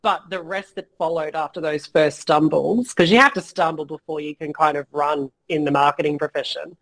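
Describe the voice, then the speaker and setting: A man guesting on a business interview, talking frankly and casually with many fillers and the odd swear word, his voice going a little hoarse. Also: on the high side, steady tone, normal speed, a bit bright, low-quality recording. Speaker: guest entrepreneur.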